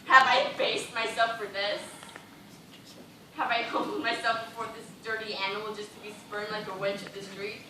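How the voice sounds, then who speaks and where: A woman playing a proud, desperate, delirious female dancer on stage, speaking slowly and imploringly with melodrama. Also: medium pitch, flat delivery, bright, forceful tone, natural voice. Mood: furious, indignant. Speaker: stage actor. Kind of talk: theatrical stage acting. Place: indoor theatre stage, recorded from afar. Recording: echoey stage, distant microphone, noisy.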